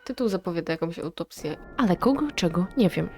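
A woman giving casual reaction commentary, reading text aloud and thinking out loud in a chatty, inquisitive way. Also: fairly low pitch, lively intonation, fairly quick, over music. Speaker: video creator reacting to analog horror.

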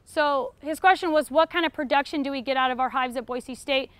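Young American female teacher, high-pitched, informal Q&A lecture delivery, dry recording. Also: a little fast, fairly bright tone, dry recording. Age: young adult. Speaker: beekeeping class instructor. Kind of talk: informal lecture answering audience questions. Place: retail store aisle in front of a seated audience.

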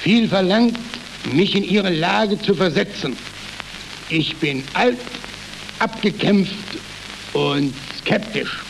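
A man's voice: sits high, takes it slowly, creaky, voice pushed up, exaggerated voice. A man reading the part of an old, worn-out, sceptical general in a stage play, with a hesitant, weighty manner. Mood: weary. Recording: noisy, crackling historical archive recording.

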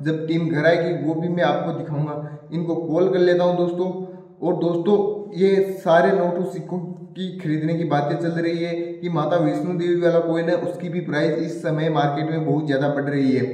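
A man's voice: on the high side, flat delivery, normal speed, dark tone. Echoey young man vlogging. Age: 20s. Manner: repetitive, keeps addressing viewers as friends. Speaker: video host. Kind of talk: talking to viewers, salesy vlog. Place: small bare room indoors. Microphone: camera or phone set in front of him. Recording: very echoey room, distant microphone.

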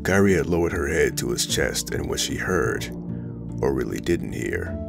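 A man's voice: very deep voice, very animated, moderate pace, creaky. A man reading scripted narration for an audio drama. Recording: music under the narration. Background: loud background music.

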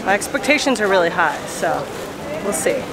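A woman's voice: mid-range voice, big pitch swings, moderate pace, poor audio. A woman in her thirties chatting to a handheld camera at arm's length on busy city streets, upbeat and full of "like" fillers. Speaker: travel vlogger. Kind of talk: casual travel vlog chat to camera. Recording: loud crowd and music behind. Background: crowd chatter and music.

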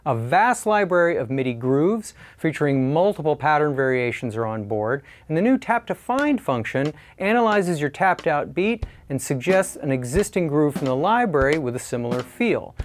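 A man in his forties giving a scripted product review in a measured, clearly articulated presenter style. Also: on the high side, sing-song, a little hiss or hum.

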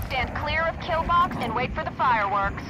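Confident army radio lady, high clipped calls over comms, loud battle noise behind. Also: lively intonation, moderate pace. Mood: brisk, wry. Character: brisk female military radio controller, wry and confident. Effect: radio transmission. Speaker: radio dispatcher. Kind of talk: military radio chatter.

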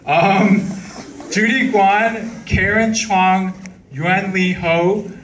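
Loud chatty male emcee in a cinema hall. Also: high-pitched, fairly even intonation, extremely slow. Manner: loud, chatty, apologetic asides. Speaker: event host. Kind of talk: emcee announcements at a fan event. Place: large cinema hall with audience. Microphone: handheld mic, filmed from far seats. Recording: distant voice, crowd and noise from far off.

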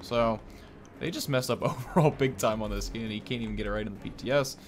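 A man's voice: mid-range voice, fast. Young guy with game noise behind. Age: young adult. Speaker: gaming video host. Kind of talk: casual game commentary. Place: indoor room, at a desk. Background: video game ambience.

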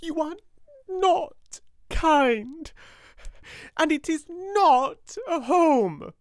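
A man doing a proud high little girl. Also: expressive, extremely slow, dry recording, studio quality, full character voice. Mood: fierce. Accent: British English. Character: proud, steadfast little girl, quietly fierce.

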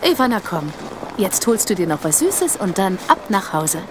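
A woman's voice: medium pitch, sing-song, normal speed, natural voice. An actress playing a practical, conciliatory mother in an audio drama. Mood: brisk. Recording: busy outdoor street noise.

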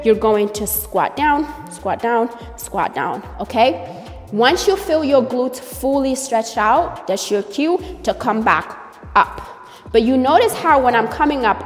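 A young woman coaching a workout in a gym, upbeat and checking in often with "okay?" and "right?". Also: a little high. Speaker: fitness coach. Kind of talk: workout tutorial. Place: large gym room with glass walls. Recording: echoey room, distant mic, faint music.

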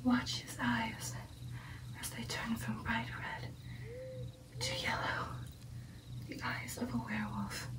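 A young woman voicing a devoted, earnest female vampire girlfriend in an intimate, breathy ASMR roleplay whisper. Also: on the high side, very animated, recorded from a distance, rough recording. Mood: grave, tense. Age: young adult. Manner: slow, deliberate, intimate, coaxing. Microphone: close ASMR microphone.

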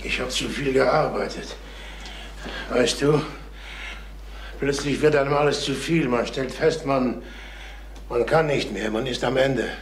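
A man's voice: medium pitch, close to an everyday voice. Radio crime drama: male actor as brusque, erratic father at his wits' end, repetitive, in an echoing room with faint noise. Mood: worn out. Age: middle-aged. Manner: halting, repetitive, demanding. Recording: roomy, faintly noisy old radio recording.

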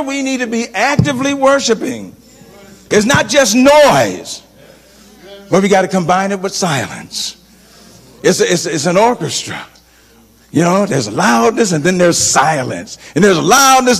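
A middle-aged man preaching a sermon as pastor, animated and shifting between loud and soft delivery, on a handheld mic in a large church. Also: high voice, measured pace, creaky. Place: large church sanctuary, indoors. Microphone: handheld microphone. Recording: long church reverb.

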